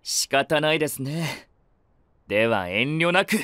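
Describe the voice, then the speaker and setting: A man's voice: on the high side, expressive, unhurried, heavy background noise. An actor playing a courteous, earnest male knight in an anime-style voice drama, formal and polite in his speech. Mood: alert, composed.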